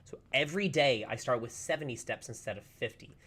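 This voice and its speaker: A man's voice: medium pitch, brisk, fairly bright tone, husky. Chatty upbeat man streaming a game. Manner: chatty, upbeat, rambling with many fillers. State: slightly hoarse, recovering from a lost voice. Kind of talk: casual livestream chat while playing.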